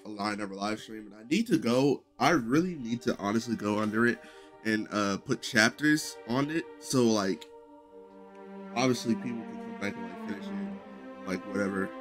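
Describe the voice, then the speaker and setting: Low-voiced young man rambling on stream. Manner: rambling, loose, many fillers and restarts. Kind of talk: casual live-stream chat. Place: small bedroom streaming setup indoors. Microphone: headset.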